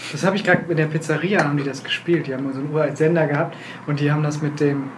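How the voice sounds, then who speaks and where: A man's voice: fairly high pitch, steady tone, racing. A man making casual banter as a player at a tabletop role-playing session. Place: room with other players at a table. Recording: noisy group table recording.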